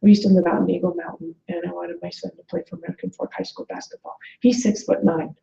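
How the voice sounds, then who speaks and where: A woman's voice: lowish voice, fairly even intonation, fast talker, gravelly, warm tone. A woman in her late forties speaking as a webinar guest in a conversational, motivational coaching style, earnestly illustrating her points with quoted remarks. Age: late 40s. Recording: muffled, echoey webinar audio.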